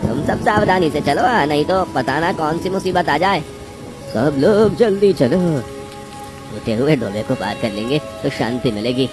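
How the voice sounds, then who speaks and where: A man's voice: a little high, animated, normal speed, pitched above the performer's own voice, natural voice. A man voicing a bossy, cautious old village grandfather in a cartoon story. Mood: anxious, urging. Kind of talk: cartoon story character acting. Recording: music behind the voice.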